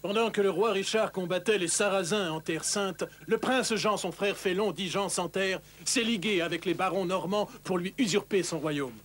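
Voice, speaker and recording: An actor playing a bold, confident outlaw leader of the forest, declaiming in a French cartoon dub. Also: male voice, high-pitched, moderate pace, hardly any pauses, fairly bright tone. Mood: grave.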